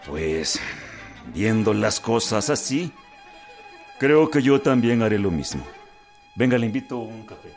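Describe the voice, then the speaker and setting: An actor playing a courteous, resigned general in a Spanish-language radio drama, slightly hesitant. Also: male voice, fairly low pitch, very animated, a little slow, pauses often, darkish tone. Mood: resigned, polite. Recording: faint music behind voice.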